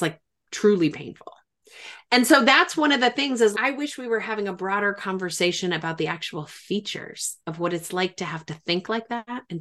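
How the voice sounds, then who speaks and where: A woman's voice: medium pitch, a bit bright. A woman hosting a reflective interview, speaking in an emphatic, explanatory way, as a former clinician drawing on experience. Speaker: podcast host and former clinician.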